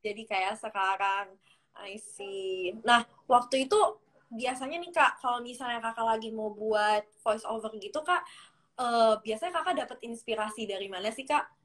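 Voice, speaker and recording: A woman's voice: on the high side, moderate pace, a bit bright. A young woman hosting an interview, attentive and polite, with frequent hmms and okays. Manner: attentive, frequent hmm and okay, politely addresses guest. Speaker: interviewer, host. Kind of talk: casual interview.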